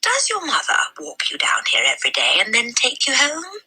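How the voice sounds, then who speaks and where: A woman voicing a kindly, concerned village librarian with a gentle, swooping delivery in a children's storybook reading. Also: sits high, wildly swooping pitch, normal speed, husky, pitched above the performer's own voice, heavily acted, poor audio. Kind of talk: children's storybook read-aloud.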